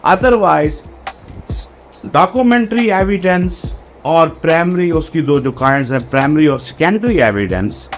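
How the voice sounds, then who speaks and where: A man lecturing on law for exam students, explaining deliberately and spelling out key phrases word by word, with English legal terms mixed in. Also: a little high, lively intonation, soft background music.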